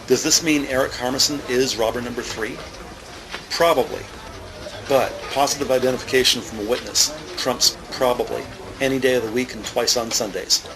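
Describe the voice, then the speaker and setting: A man acting as a sardonic narrator of a scripted crime drama, punchy and gravelly. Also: medium pitch, fairly even intonation, moderate pace, lightly acted. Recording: clearly noisy ambient background.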